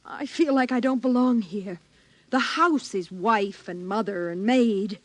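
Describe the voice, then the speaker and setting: A woman's voice: mid-range voice, measured pace, dry recording, natural voice. An actress playing a nervous, pleading housewife and mother in mid-century radio drama style. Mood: troubled, pleading. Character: anxious, fearful housewife and mother, pleading and insistent.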